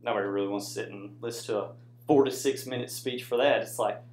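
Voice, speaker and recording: A man's voice: lowish voice, fairly even intonation, quick pace, darkish tone. American man presenting in an echoey room. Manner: conversational, addresses audience with frequent "right?" tags. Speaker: student presenter, soldier. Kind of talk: informal classroom presentation with slides. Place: indoor room with audience.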